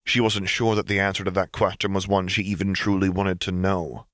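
A man's voice: sits low, flat delivery, a little fast, a bit bright, no echo, clean studio sound. A man reading fiction aloud as scripted, dramatic audiobook narration.

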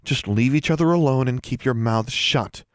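A man's voice: mid-range voice, moderate pace, no room sound, clean studio sound, voice pushed up, lightly acted. A man voicing a bold, confrontational teenage girl in an audiobook story, blunt and firm in the way of a leader. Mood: firm reassuring.

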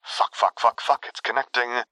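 A man voicing a nervous, stammering young man with a squeaky voice in an audio story. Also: very animated, moderate pace, sharp tone, dry recording, exaggerated voice. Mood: panicked muttering. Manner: stammering, flustered.

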